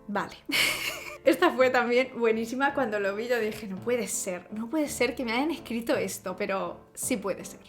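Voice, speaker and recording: A woman from Spain with a Spanish accent, talking to camera in a casual vlog, expressive and ironic, laughing often. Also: on the high side. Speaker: vlogger.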